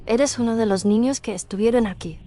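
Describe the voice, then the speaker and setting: A woman's voice: on the high side, brisk, dark tone, close to an everyday voice. A woman playing a grave livestream leader in a haunted place, speaking solemnly and carefully in a horror story. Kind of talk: horror audio story acting. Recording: clear noise and ambience behind voice.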